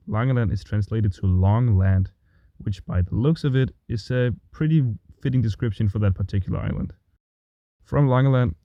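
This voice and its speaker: Low-voiced Danish man narrating, dry humor. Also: dry recording. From Denmark. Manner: dry, self-mocking humor. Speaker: vlogger narrating his bike trip. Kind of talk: scripted voice-over narration. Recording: dull, dry voice-over with faint music.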